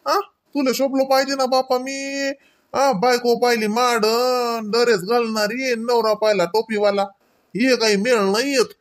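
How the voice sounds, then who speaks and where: A man's voice: extremely high pitch, little pitch movement, unhurried. A man playing a playful older village husband and father in a comedy cartoon, with a Varhadi Marathi accent and a folksy, rambling, mock-complaining way of talking. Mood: amazed, mock-complaining. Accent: Varhadi Marathi from Vidarbha.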